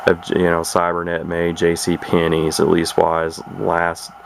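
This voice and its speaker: Low, gravelly man showing off gear. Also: monotone, measured pace. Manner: rambling, hesitant, repeats words. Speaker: radio collector presenting his collection. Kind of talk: casual product demonstration. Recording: noisy amateur camera recording.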